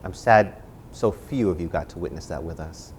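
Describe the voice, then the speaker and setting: A low-voiced man acts as host and moderator of a live arts discussion. His phrasing is deliberate and theatrical, with key words given clear emphasis. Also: a little hiss or hum.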